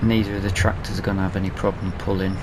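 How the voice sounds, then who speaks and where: A man's voice: low voice, flat delivery, fast talker. A man with a Northern English accent giving casual let's play commentary, muttering and grumbling to himself as he plays. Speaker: gaming video host. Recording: loud vehicle engine noise behind. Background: farming game vehicle and ambience sounds.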